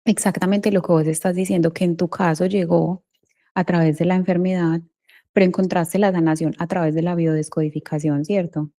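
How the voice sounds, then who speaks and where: Mellow-voiced Colombian woman hosting a podcast. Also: fairly low pitch, level tone, brisk. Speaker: podcast host and interviewer. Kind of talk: conversational interview.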